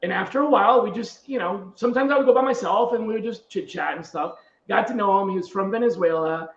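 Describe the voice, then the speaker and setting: A middle-aged man with a high-set voice tells stories in a relaxed, conversational way as a podcast host. The sound is roomy and slightly muffled, as if the microphone misses some of the detail. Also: quick pace, vocal fry. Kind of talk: casual conversational anecdotes.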